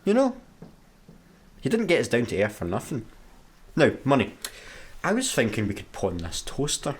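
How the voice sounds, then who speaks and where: A Scottish man playing an excitable, sarcastic space engineer in a sci-fi comedy radio play, with a dramatic, easily flustered manner. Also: medium pitch, moderate pace, slight background noise. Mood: blasé.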